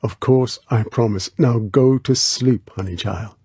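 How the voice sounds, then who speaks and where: A man voicing a tough, protective action hero in an audiobook, with a clipped, low-key, commanding manner. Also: normal speed, mellow, breathy edge, no echo. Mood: desperate, tender.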